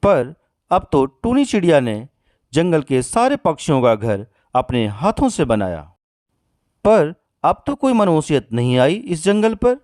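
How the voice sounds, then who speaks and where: Man voicing a soft, earnest cartoon crow, highish pitch. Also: very animated, measured pace, no echo, clean studio sound. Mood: reproachful. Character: kind, sympathetic, principled male crow. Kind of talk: Hindi cartoon story voice acting.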